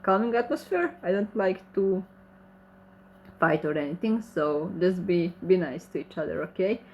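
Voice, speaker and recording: A woman's voice: lowish voice, expressive, normal speed, darkish tone, a little hiss or hum, low-quality recording. A woman with a Croatian accent, who speaks Croatian natively, hosts a casual livestream Q&A. She reads viewer comments aloud and answers them in friendly, slightly broken English. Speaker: channel host reading chat questions.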